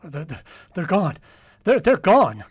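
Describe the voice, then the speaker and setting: Timid, stammering radio-drama fellow. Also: male voice, sits high, very animated, takes it slowly, breathy edge, heavily acted. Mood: panicked. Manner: hesitant, stammering. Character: timid, nervous, stammering man. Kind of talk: old-time radio drama acting.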